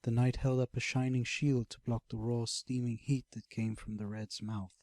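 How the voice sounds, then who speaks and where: A man narrating a scripted fantasy audiobook as a dramatic, expressive storyteller. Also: medium pitch, moderate pace, no room sound.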